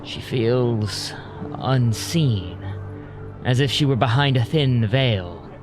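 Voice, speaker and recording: Guy narrating an audio drama, slow and measured, medium pitch, music underneath. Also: animated. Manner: measured, deliberate. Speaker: narrator, storyteller.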